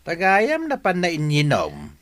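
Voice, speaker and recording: An animated male voice from an actor playing a gossipy neighbour in an audio drama. He is chatty and sympathetic, with expressive rises and falls in pitch. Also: measured pace, exaggerated voice. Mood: gossipy, disapproving. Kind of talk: Ilocano radio-style audio drama acting.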